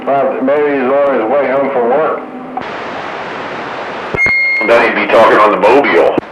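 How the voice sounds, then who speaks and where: A man's voice: medium pitch, unhurried. A man chatting casually over a two-way radio as an amateur operator. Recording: roomy, noisy two-way radio audio.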